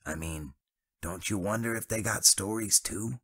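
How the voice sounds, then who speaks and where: A folksy working man with a relaxed drawl, as a narrator puts him on. The voice sits somewhat above the reader's usual low tone and keeps a bright edge. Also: a little slow, slightly rough, exaggerated voice. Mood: conspiratorial. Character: gruff self-assured working man.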